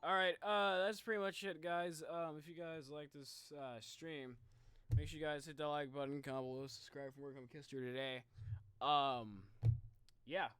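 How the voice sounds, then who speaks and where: A man streaming a casual reaction, thinking out loud in slangy talk and addressing his viewers directly. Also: on the high side, steady tone, moderate pace, clean tone, no room sound. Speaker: streamer, video host. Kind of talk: casual livestream reaction chat.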